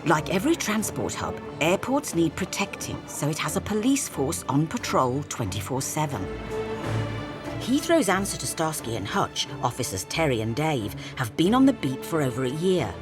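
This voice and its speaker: A woman's voice: low-pitched, sing-song, normal speed, over music. A woman giving scripted documentary narration with a wry, playful delivery.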